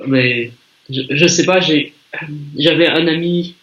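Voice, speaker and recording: A man from France chatting in a relaxed, talkative way with a language learner over a video call. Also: fairly high pitch, slow pace, poor audio. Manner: relaxed, chatty, with some restarts. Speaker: conversation partner. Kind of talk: casual conversation.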